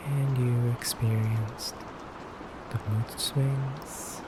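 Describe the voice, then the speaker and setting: Guy doing a elegant femboy vampire, stern n polite, slow hushed asmr voice, some noise behind it. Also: medium pitch, fairly even intonation. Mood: probing, solemn. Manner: soft, deliberate, pausing, often dropping to a whisper. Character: beautiful femboy vampire interrogator, controlled, stern yet courteous. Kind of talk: ASMR roleplay.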